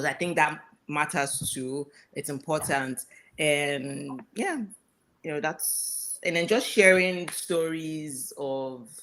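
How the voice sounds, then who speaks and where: A woman's voice: deep voice, vocal fry, a bit bright, low-quality recording. A woman, telling family stories on a panel, often laughing and hedging with fillers. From Nigeria. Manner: laughing, storytelling with hesitant fillers. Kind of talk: casual panel discussion.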